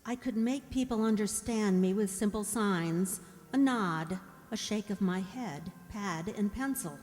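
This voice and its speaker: A woman in a radio drama playing a gentle, anxious young mute servant who voices her thoughts with breathy, wistful drama. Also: mid-range voice, unhurried, soft background music, lightly acted. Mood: haunted, wistful. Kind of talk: radio drama narration and inner monologue. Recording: echoey hall with long reverb.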